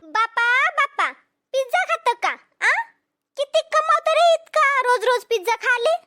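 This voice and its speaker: Woman as a cartoon housewife, extremely high, sharp tone, badgering and haggling, Vidarbha Marathi accent. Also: animated, measured pace, faint music behind, full character voice. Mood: nosy, amazed. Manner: wheedling, badgering. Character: pleading, nosy village housewives haggling for pots. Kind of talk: cartoon comedy dialogue.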